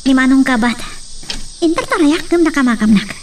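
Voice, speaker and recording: An actress playing an earnest, determined daughter in an emotional, melodramatic radio drama. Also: female voice, sits high, lively intonation, brisk, darkish tone, recorded from a distance, a little hiss or hum. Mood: worried. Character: earnest, determined daughter searching for her father.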